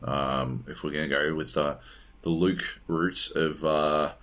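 A man's voice: very deep voice, steady tone, takes it slowly, fairly bright tone. A man chatting casually and bluntly as a podcast co-host, rambling and swearing as he reviews video games. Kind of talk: casual unscripted chat.

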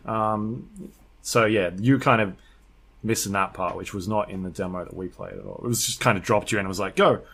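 A man's voice: on the low side, animated, brisk, vocal fry, fairly bright tone. A man talking casually as a podcast co-host, explaining his experience with video games in a conversational way. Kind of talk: casual unscripted chat.

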